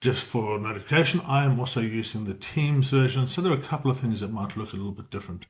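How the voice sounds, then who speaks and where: A man's voice: lowish voice, quick pace. South African man explaining casually. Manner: informal, explanatory, self-correcting as he talks. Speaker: tutorial presenter. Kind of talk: casual screen-share tutorial.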